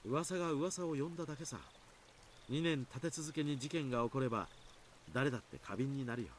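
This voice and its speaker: A man's voice: mid-range voice, rapid-fire, slight background noise, lightly acted. An actor playing an easygoing man in a visual novel, explaining things in a reassuring, long-winded way. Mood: calm, dismissive. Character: easygoing adult man calmly explaining away village curse rumours. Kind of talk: Japanese visual novel voice acting.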